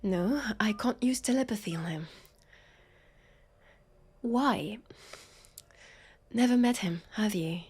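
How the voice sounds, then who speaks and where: A woman acting as a female vampire detective with a French accent, speaking at a slow, halting pace. She is brusque, sweary and sarcastic, easily flustered, in the manner of an audio roleplay drama. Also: mid-range voice, a little mellow, husky. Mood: impatient, scornful.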